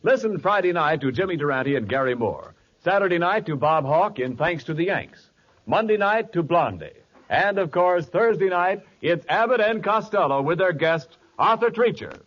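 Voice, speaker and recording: A man's voice: sits high, lively intonation, normal speed. An American man announcing a 1940s radio show from a script. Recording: dull 1940s radio recording with music.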